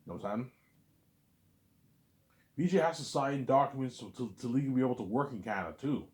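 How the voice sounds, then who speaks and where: A man's voice: mid-range voice, animated, fast talker. Man rambling with lots of restarts. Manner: repetitive, restarts words often; blunt, conversational, asks "you know what I'm saying". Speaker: vlogger. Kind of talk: casual rambling monologue.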